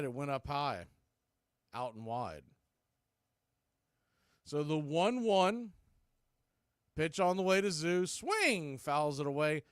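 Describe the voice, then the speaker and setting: A man's voice: a little high, clean tone, dry recording. Clean, dry-sounding male baseball play-by-play host. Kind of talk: live radio baseball commentary.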